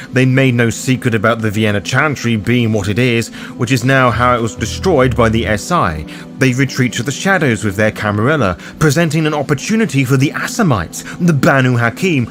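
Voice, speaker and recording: A man delivers scripted narration for a roleplaying lore guide, with music clearly playing behind him. His pitch sits in the middle range for a man. The wording is formal and ornate, spoken directly to the listener. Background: background music under the voice.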